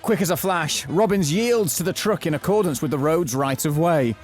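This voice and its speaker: A man narrating a mock-thriller audio drama from a script, telling it in a florid, deadpan way. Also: on the high side, moderate pace, lightly acted.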